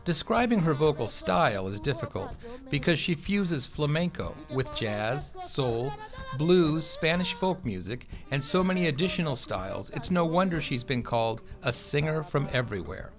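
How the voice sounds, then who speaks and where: A man narrating a scripted news feature in a measured, even delivery. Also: on the high side. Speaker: reporter narrating. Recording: voice-over with music bed.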